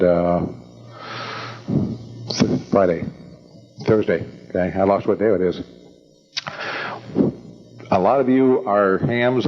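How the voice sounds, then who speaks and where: An older American man hosting an evening meeting, introducing speakers informally in a halting, rambling, folksy way. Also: sits low. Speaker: event host introducing speakers. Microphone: event microphone. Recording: dull lo-fi event recording.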